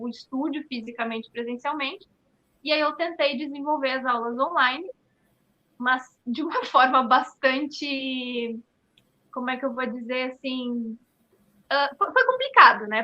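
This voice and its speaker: A woman's voice: high voice, muffled recording. A woman with a southern Brazilian accent from Rio Grande do Sul, answering in a conversational interview in an earnest, explanatory way, peppered with tag questions. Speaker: dance artist and studio owner, interview guest.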